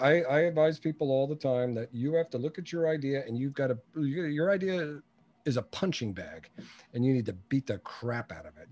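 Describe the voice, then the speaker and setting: A man's voice: medium pitch, a little mellow. A man in his early sixties with a mid-range voice speaks as a guest in a conversational interview. He is blunt and opinionated, driving points home with emphatic, colloquial phrasing and storytelling. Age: early 60s. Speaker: interview guest, business coach. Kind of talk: conversational interview with anecdotes and advice.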